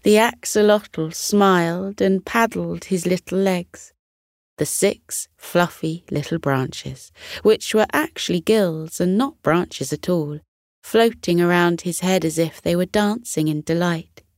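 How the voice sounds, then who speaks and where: Woman reading a kids bedtime story, soothing, really unhurried, lowish voice, dry close sound. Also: no room sound. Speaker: children's bedtime story narrator. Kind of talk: soothing scripted storytelling narration.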